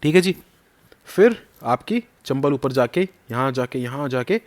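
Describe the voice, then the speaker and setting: A man's voice: mid-range voice, expressive, a little slow. Man teaching, quizzing his students. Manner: quizzing, repeats key names. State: slight sore throat. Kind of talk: map lesson for exam prep.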